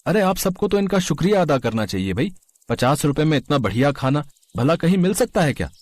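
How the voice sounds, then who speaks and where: A man's voice: on the high side, very animated, brisk, a little mellow, no room sound. Man playing a coaxing, shrewd poor carpenter, close dry sound. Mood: placating. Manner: coaxing, ingratiating. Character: poor carpenter father, shrewd smooth flatterer. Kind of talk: Hindi animated moral story.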